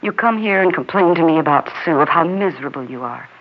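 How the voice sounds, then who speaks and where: Lonely, wounded mistress. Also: female voice, deep voice, lively intonation, fast talker. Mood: hurt, resigned. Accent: American. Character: married man's mistress, wounded, lonely, clear-eyed woman. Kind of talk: 1960s radio drama acting. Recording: muffled 1960s radio recording, faint noise.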